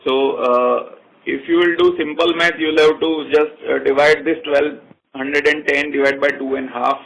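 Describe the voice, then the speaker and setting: A man's voice: on the high side, fairly even intonation, normal speed, gravelly, fairly bright tone, poor audio. A man with an Indian accent, a company executive answering questions formally and confidently on an earnings call.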